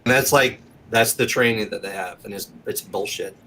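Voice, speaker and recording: A man with a fairly low, forceful voice talks as an interview guest. He is emphatic and impassioned and swears easily. Faint noise and clicks sit behind him. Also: normal speed, low-quality recording. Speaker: actor and acting coach, guest. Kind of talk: casual interview chat.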